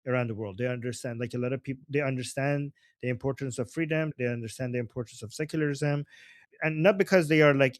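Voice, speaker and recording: A man's voice: mid-range voice, dry recording, studio quality. A man from Iran hosting a live political discussion, arguing emphatically and dropping rhetorical "right?" and "okay?". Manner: emphatic, argumentative, rhetorical tag questions. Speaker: host.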